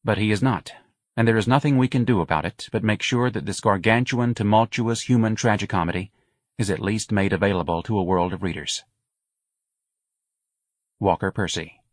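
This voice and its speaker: Low-voiced man reading an audiobook. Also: normal speed, no echo, clean studio sound. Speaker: audiobook narrator. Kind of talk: literary audiobook narration, reading aloud.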